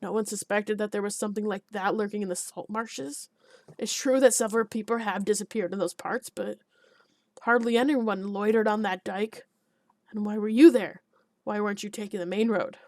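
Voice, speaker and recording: A woman voicing a small, podgy, hot-tempered village alderman who blusters and shouts. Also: mid-range voice, steady tone, normal speed, dry recording, clean studio sound, close to an everyday voice. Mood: gloomy.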